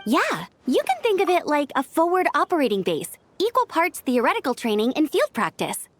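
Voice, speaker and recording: A woman's voice: sits high, huge pitch swings, moderate pace, slight background noise. A woman voicing an upbeat young scout in a video game, youthful, breezy and confident. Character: upbeat, energetic young female scout, eager to help.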